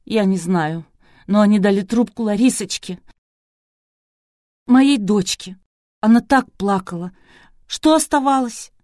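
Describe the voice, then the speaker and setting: A terrified middle-aged man of medicine, acted out by a woman narrator in an audiobook. He is broken and pleading, speaking in tearful, halting phrases, with a close, dry sound. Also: medium pitch, dark tone, no echo, lightly acted.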